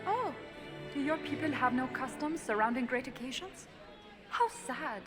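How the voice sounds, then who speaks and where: Haughty, high-voiced courtier. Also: female voice, lively intonation, normal speed. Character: haughty female noble courtier, condescending. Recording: game dialogue over loud music.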